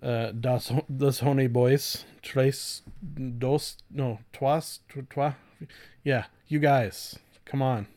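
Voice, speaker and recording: A man hosting a solo podcast in a casual, rambling way, halting often, swearing lightly and putting himself down. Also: mid-range voice, fairly even intonation, darkish tone. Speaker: podcast host.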